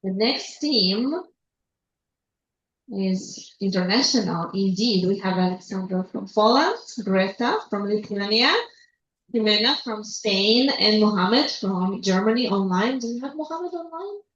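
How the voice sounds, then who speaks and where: A woman moderating a conference, briskly introducing the next team. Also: mid-range voice, animated, fairly bright tone. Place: conference hall. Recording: echoey hall, muffled mic.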